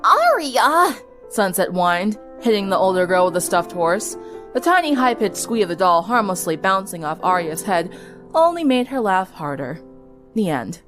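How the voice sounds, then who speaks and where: A woman reading a story aloud as narrator, in expressive scripted narration. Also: lowish voice, moderate pace, music in the background.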